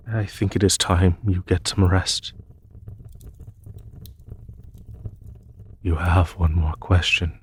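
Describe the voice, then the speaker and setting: Male actor as demon emperor, deep voice, unhurried pace, solemn, measured, formal, noble pacifist, fantasy roleplay. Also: slightly rough, heavily acted. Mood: calm, patient. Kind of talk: fantasy audio roleplay, spoken softly to one listener.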